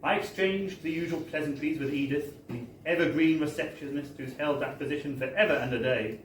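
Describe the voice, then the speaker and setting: Guy reading a funny story live, dry mock-posh wording, higher voice, echoey and a bit far from the mic. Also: a little fast. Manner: wry, mock-formal, theatrical delivery. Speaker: reader of a humorous book. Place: bar, indoors, at a live book launch. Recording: echoey live bar recording, distant, faint noise.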